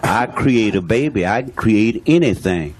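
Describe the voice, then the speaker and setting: A man drives his points home with blunt, emphatic speech. His voice sits on the low side, and he talks in a plain, everyday way, repeating himself for weight. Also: lively intonation, measured pace, a little mellow, breathy edge. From Louisiana, USA. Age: 60s. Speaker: guest speaking on a talk show. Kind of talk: impassioned talk show statement.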